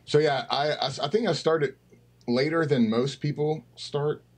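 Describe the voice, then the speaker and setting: A man's voice: on the high side, normal speed. A man of thirty-five, a podcast guest, chatting casually and rambling with fillers over a video call. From Tennessee, US. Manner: laid-back, rambling with fillers. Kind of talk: casual chat. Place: dark home room with blue lights.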